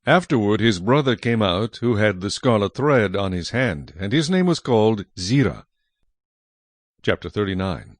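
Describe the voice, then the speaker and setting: A man's voice: fairly low pitch, big pitch swings, normal speed, no echo. A man reading scripture as the narrator of a dramatized audio Bible.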